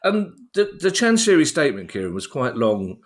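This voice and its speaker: A man's voice: fairly high pitch, sing-song, moderate pace. A man hosting a podcast in casual chat, with a wry, wordy, self-deprecating manner. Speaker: podcast host.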